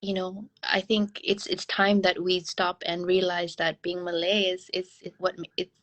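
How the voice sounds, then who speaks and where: A woman from Brunei talking as an interview guest in a casual, reflective way, haltingly thinking aloud. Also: lowish voice, level tone, a bit bright.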